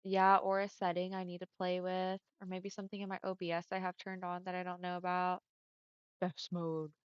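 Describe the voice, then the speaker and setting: Chatty woman streamer, smooth deeper voice. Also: completely flat delivery, fairly quick, no echo. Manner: chatty, friendly, often laughing. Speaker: livestreamer. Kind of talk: casual chat with viewers. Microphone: headset mic. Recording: dull lo-fi headset mic.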